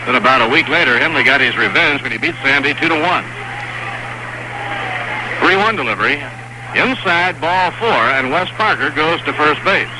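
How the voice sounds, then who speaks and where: A man calling a baseball game play by play on live radio, describing each pitch in a steady, measured way. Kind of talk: live radio sports commentary. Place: stadium broadcast booth. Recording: noisy vintage 1960s radio broadcast.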